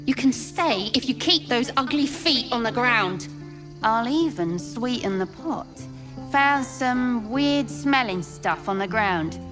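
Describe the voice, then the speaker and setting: A woman voicing a territorial, firmly haggling game character, placating yet bossy. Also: fairly high pitch. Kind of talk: video game voice acting. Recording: prominent music behind the voice.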